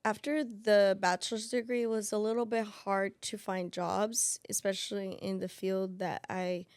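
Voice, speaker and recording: A woman's voice: mid-range voice, little pitch movement, moderate pace, darkish tone, no echo. A woman in her thirties whose first language is Spanish, talking as a financial aid specialist in a casual interview, thoughtful and full of restarts. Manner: thoughtful, with many fillers and restarts.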